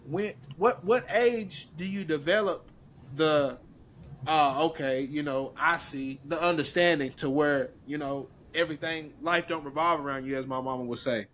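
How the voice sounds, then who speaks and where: A man's voice: on the high side, normal speed, a bit bright, slight background noise. A man in his thirties, co-hosting a podcast, telling a work story in a laid-back, slangy way. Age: 30s. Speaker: podcast co-host. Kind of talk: casual chat.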